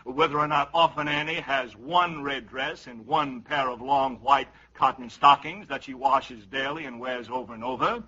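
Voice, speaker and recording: An actor playing a polite, flustered panel moderator who stammers and repeats himself in 1950s radio comedy. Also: male voice, medium pitch, normal speed, few pauses, pushed and bright, breathy edge. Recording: dull 1950s radio recording.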